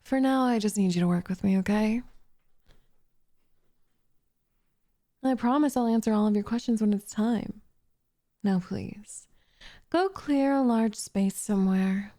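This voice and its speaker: A woman playing a possessive, lovestruck witch in an ASMR roleplay, coaxing and condescending in a soft voice that slips into whispers. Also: medium pitch, moderate pace, close to an everyday voice. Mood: commanding, hushed.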